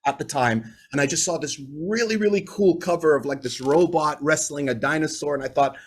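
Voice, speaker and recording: A man's voice: a little high, a little fast, breathy edge. A man in his forties from Bahrain, a native English speaker, telling stories in a chatty, winding way during a video-call interview. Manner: chatty, winding, tags points with "right?". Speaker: guest. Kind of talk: casual interview storytelling.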